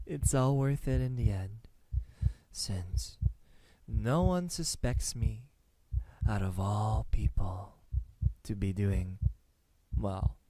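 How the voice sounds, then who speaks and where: A young man playing a menacing student kidnapper in an ASMR roleplay, calm and smug, speaking softly and deliberately into a close microphone. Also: lowish voice, no echo. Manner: soft, deliberate, breathy sighs, dropping into whispers.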